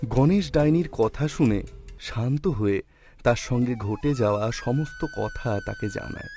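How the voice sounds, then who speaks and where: A man's voice: medium pitch, huge pitch swings, moderate pace, mellow, faint music behind. A man reading scripted storytelling narration for a cartoon tale.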